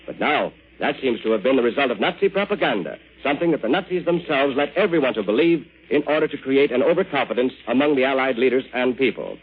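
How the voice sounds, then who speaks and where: A man with an American accent reading a scripted 1940s radio news bulletin in a formal, measured manner. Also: mid-range voice, expressive, a little hiss or hum. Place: radio newsroom. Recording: muffled vintage 1940s radio broadcast.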